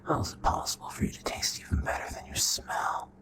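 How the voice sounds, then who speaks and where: A man playing a charming, possessive royal vampire in an intimate ASMR roleplay, with a breathy, hushed, courtly and menacing delivery. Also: a little fast, natural voice. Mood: hungry, restrained. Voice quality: breathy whispering, very low voice.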